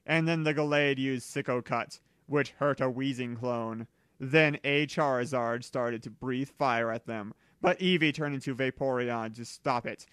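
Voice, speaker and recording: A man reading fan fiction aloud as narrator in a plain, deliberate way. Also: medium pitch, fairly bright tone, no echo, studio quality.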